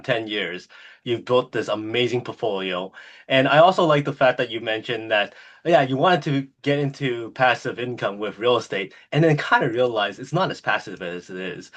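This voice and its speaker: A man's voice: medium pitch, a bit bright. A man hosting an interview podcast, introducing and questioning his guest with eager enthusiasm and plenty of "uh" fillers. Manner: enthusiastic, many "uh" fillers and restarts. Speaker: podcast host.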